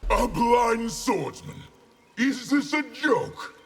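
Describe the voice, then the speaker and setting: Gravelly, brutal warlord general. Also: male voice, very high-pitched, animated, slow pace, full character voice. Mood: scornful. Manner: scornful, menacing. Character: brutal, fierce male otherworldly warlord general, contemptuous. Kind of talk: video game villain banter.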